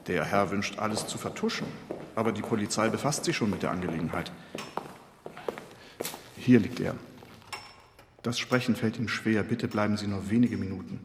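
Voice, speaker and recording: A man's voice: lowish voice, moderate pace, pauses often, natural voice. An actor playing a discreet, formal male attendant in a radio drama, recorded in an echoing space. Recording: echoing hall, clear background noise.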